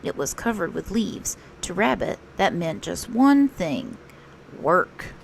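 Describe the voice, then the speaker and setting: A woman reading a children's picture book aloud as a storytelling teacher, expressive and unhurried. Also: lowish voice, creaky, slight background noise.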